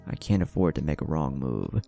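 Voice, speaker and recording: A man's voice: extremely low pitch, sing-song, a little fast, vocal fry, warm tone, soft background music, full character voice. A man voicing a cautious, powerful young male ninja who explains things in a matter-of-fact way. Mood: calm.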